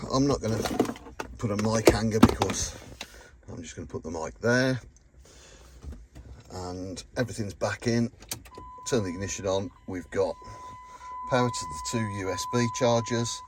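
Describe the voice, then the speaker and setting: A British man giving a casual, matter-of-fact hands-on commentary as he works in a car, with informal phrasing. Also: medium pitch. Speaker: car DIY vlogger. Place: in and around a car. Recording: noticeable background noise and clicks.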